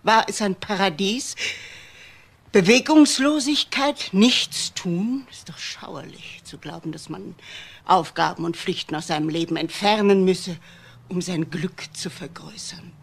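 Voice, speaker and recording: An older actress playing a wealthy, bitter widowed mother in a German crime radio drama, speaking deliberately and dramatically with pauses. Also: fairly low pitch, creaky, breathy edge, full character voice. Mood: bitter, lamenting. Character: old wealthy widowed mother, bitter about her son.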